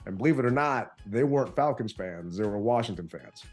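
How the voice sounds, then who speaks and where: A man co-hosting a sports podcast, telling stories in an animated, casual way, his voice a bit strained from yelling. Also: on the low side, brisk, faint music behind. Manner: animated storytelling. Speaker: podcast co-host.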